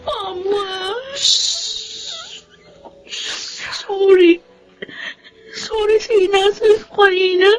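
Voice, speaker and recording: A woman's voice: very high voice, level tone, takes it slowly, a little mellow, muffled recording, slight background noise. A woman acting a devoted, distraught young daughter in a Filipino radio drama, with a tearful, pleading delivery. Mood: remorseful. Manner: tearful, pleading, emotionally heightened.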